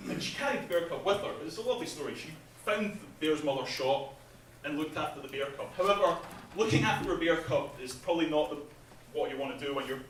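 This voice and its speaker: A man's voice: fairly high pitch, animated, quick pace, hardly any pauses, fairly bright tone. Man presenting in an echoey hall. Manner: chatty, laughs at his own jokes, many ums. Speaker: game developer presenting at an industry event. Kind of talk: casual conference presentation. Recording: echoey hall, speaker far from mic, faint noise.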